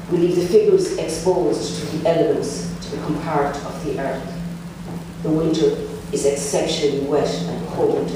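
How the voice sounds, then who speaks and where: A man reading a personal memoir piece aloud at a book launch, quiet and solemn. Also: fairly high pitch. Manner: quiet, solemn reading, then warm thanks. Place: large echoey museum hall. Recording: echoey hall, voice from far off, noisy.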